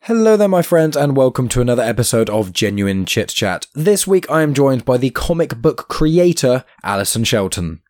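A man in his twenties hosting a podcast interview, chatty and quick to laugh, his voice a little croaky. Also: mid-range voice, big pitch swings, a little mellow, no echo, clean studio sound. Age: 20s. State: slightly croaky, losing his voice. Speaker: podcast host. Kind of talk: casual interview chat.

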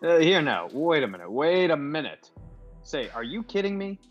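Man acting a husky slangy movie tough guy. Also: on the high side, sing-song, moderate pace. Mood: alarmed. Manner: slangy, clipped, hesitant stammers. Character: tough-talking movie gangster star, affable but wary. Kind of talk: old-time radio comedy play acting. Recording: dull lo-fi audio with music behind.

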